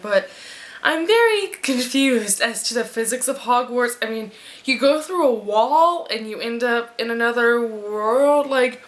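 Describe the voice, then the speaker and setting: Chatty woman vlogger, slightly high voice, rambling book-review talk to camera at home. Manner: chatty, rambling, animated asides. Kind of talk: casual vlog review. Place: at home in front of a fan. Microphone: camera mic.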